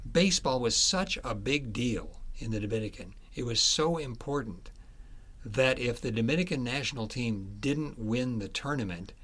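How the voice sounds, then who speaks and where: A man's voice: mid-range voice, lively intonation, normal speed, a bit bright. A man being interviewed as an author, telling history in a conversational, explanatory and emphatic way.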